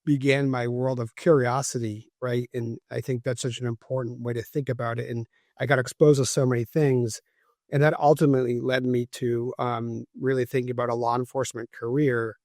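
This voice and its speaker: A man's voice: medium pitch, little pitch movement, a little fast, a little mellow, dry recording. Reflective middle-aged man, dry sound. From Cambridge, Massachusetts. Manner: reflective, tags points with "right?". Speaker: guest, former FBI agent and CEO. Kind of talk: conversational podcast interview.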